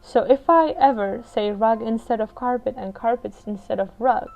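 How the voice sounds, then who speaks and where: A woman's voice: fairly high pitch, moderate pace, creaky, darkish tone. A woman from the Czech Republic with a Czech accent gives a casual, chatty, unscripted voiceover as a video creator, explaining her choices as she goes. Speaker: video creator narrating a game build. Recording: dull lo-fi voiceover with music. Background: background music.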